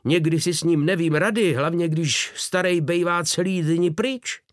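A man's voice: fairly high pitch, no room sound, clean studio sound, pitched above the performer's own voice, natural voice. A man reading an audiobook voices a talkative middle-aged boat-keeping woman who speaks in colloquial common Czech. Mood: chatty. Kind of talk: audiobook character voice.

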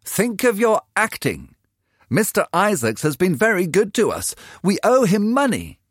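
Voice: mid-range voice, wildly swooping pitch, a little slow, breathy edge, no room sound, clean studio sound, pitched above the performer's own voice, heavily acted. A reader voicing Missus Vane, a tired, worried poor mother, in a storybook reading. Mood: warning.

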